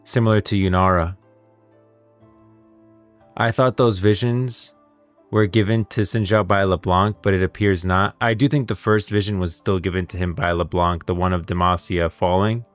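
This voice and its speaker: Male gaming lore streamer, low voice, casual and rambling, close dry sound over background music. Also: fairly even intonation, moderate pace, dry recording. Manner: rambling, thinking aloud. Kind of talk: casual livestream commentary on game lore.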